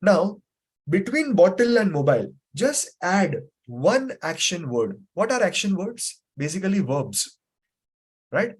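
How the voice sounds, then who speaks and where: A man's voice: sits high, sing-song, measured pace, slightly rough. Man teaching an online memory class. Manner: patient, repetitive explaining with many fillers. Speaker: memory trainer. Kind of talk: live online class with questions. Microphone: video call.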